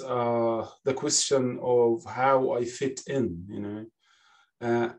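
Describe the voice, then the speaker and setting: A man's voice: medium pitch, darkish tone, recorded from a distance. A man, speaking as a panelist in an unscripted talk. He hesitates a lot, restarting phrases and filling gaps with "you know", and speaks through a headset on a video call from a home office. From Egypt. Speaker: panelist, classics lecturer. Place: home office with bookshelves, indoors.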